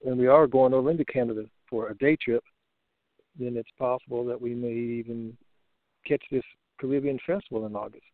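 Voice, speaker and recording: A man's voice: medium pitch, fairly even intonation, fairly quick, dry recording. A man co-hosting a casual conference call over a phone line, moderating and reading out listeners' questions.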